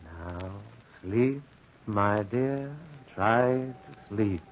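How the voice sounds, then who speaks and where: An actor playing a courteous, reasonable doctor in a 1940s radio drama. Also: male voice, lowish voice, drawn-out words, slight background noise. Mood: soothing. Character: composed, courteous male doctor, reasonable and confident. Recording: dull old radio recording.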